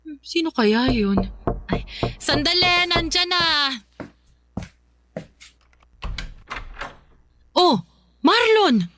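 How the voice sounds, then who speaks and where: A woman's voice: very high-pitched, big pitch swings, slow pace, noisy background, heavily acted. An actress playing a kindly, chatty mother with a Filipino accent in a radio drama. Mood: homely, curious. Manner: homely, concerned, chatty. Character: kindly middle-aged mother welcoming her family.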